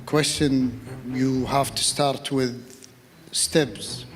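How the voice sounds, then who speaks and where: Halting, even-toned man in a reverberant hall. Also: mid-range voice. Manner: halting, repetitive, diplomatic phrasing. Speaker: panel speaker, official. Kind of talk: panel discussion answer.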